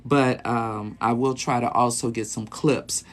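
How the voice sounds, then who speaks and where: A man in his late fifties talks casually to his live-stream viewers from a small closet. He hosts as a sexologist and rambles along, pausing on fillers and restarting sentences. His pitch sits in an ordinary middle range for a man. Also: fairly even intonation, slight background noise. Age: 50s. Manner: easygoing and rambling, with many ums and restarts.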